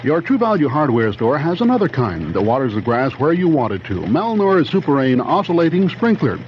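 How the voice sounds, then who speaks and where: Vintage radio pitchman; animated male voice, salesmanlike and polished, lo-fi recording. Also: fairly quick. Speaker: commercial announcer. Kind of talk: 1970s radio advertising read. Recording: dull old radio recording, faint noise.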